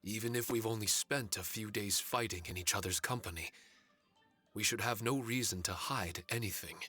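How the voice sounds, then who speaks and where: A man playing a solemn, courteous male spirit servant in an anime game, speaking formally and earnestly in a measured way. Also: fairly low pitch, sharp tone, husky. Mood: solemn, resolute. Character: solemn, courteous male shikigami spirit warrior with fragmented memories. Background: faint music.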